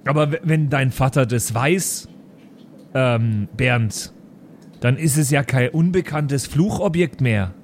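A man's voice: mid-range voice, takes it slowly. A man voicing a patient but muddled schoolteacher, explaining and questioning hesitantly. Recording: faint background noise.

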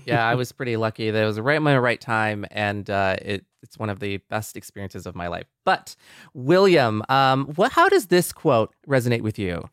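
A man's voice: on the low side, very animated, moderate pace, a bit bright, clear, resonant tone, no echo, clean studio sound. A man hosting a podcast, talking casually and at length with geeky enthusiasm. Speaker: podcast host. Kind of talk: casual chat.

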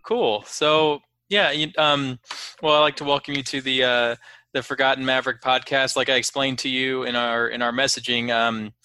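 A man's voice: mid-range voice, level tone, normal speed, bright, forceful tone, slight background noise. A man hosting a podcast interview in casual chat, phrasing his introductions and questions loosely and a little hesitantly. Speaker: podcast host.

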